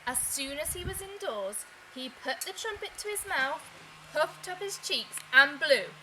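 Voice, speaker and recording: A woman reading a children's storybook aloud in an expressive, deliberate way, with dramatic emphasis. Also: sits high, background noise. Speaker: teacher reading a picture book aloud.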